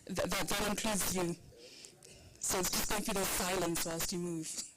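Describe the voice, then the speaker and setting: A woman with a slightly low voice runs a workshop through a handheld microphone in a conference room. She is relaxed and jokey and gently directs the people in front of her. Clear background noise runs under her voice. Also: moderate pace. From South Africa. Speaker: workshop facilitator. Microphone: handheld microphone with a PA system. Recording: noisy room recording.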